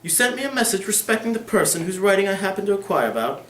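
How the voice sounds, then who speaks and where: A man's voice: sits high, very animated, quick pace, a bit bright, voice pushed up. A young man voicing a haughty, languid aristocratic lady in a dramatic classroom reading. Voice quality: lofty, languid. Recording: echoey room.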